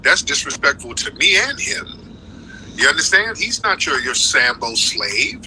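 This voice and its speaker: Forceful man hosting a heated live stream. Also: mid-range voice, slight background noise, rough recording. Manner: forceful, interrupting, repeats "no, no". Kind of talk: heated live call-in debate.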